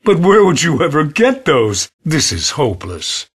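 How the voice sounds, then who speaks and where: An actor playing a corrupted male ship AI with a raspy, processed voice, torn between frightened pleading and a menacing hive voice in a video game. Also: on the high side, wildly swooping pitch, a little slow, exaggerated voice.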